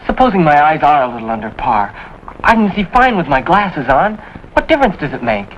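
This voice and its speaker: Earnest young American recruit, dull old soundtrack. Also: male voice, on the high side, big pitch swings, a little fast. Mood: desperate, pleading. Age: young adult. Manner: hesitant, repeats himself earnestly. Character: earnest young male Navy recruit, troubled and pleading. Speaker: actor. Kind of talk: 1950s television drama acting. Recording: dull, noisy 1950s television soundtrack.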